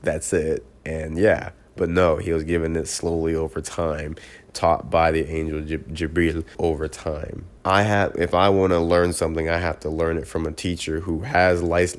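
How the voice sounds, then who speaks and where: A man's voice: low-pitched, little pitch movement, normal speed. A man with a congested, stuffy voice, talking earnestly to camera in a casual personal video reflection, often clipping and restarting words. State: congested from a cold. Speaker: vlogger.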